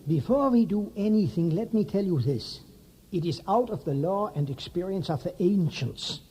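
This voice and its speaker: A man's voice: high voice, expressive, moderate pace, warm tone, breathy edge, low-quality recording. A man playing a solemn, commanding vampire hunter in a radio drama, with a grave, emphatic, theatrical delivery.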